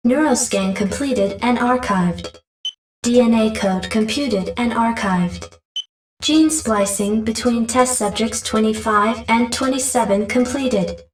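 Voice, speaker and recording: Lab computer, voiced by a woman: fairly high, emotionless, clipped game announcements, echoey. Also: natural voice. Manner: flat, clipped, procedural. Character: emotionless female laboratory computer, clinical. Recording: echoey, distant, faint noise.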